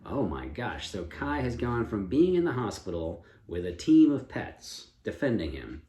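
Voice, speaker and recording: A man improvising a story as a storyteller, speaking in a deliberate, inquisitive and encouraging manner. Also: fairly low pitch, sing-song, dark tone. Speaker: storyteller and co-host with a child. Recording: echoey room, faint background noise.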